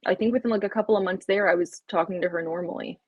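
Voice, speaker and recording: A woman's voice: mid-range voice, fairly even intonation, rapid-fire, slightly rough, no room sound, muffled recording. A woman in her thirties, a podcast guest, telling her life story in a casual, chatty way, full of fillers and likes. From United States and Palestine.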